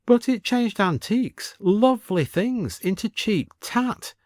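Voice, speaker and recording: An indignant British antiques man, acted by a narrator, full of puzzled questions and exclamations. His voice climbs high in this storybook tale, recorded dry and close. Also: lively intonation, takes it slowly, a little mellow, no echo. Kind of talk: audiobook character voice.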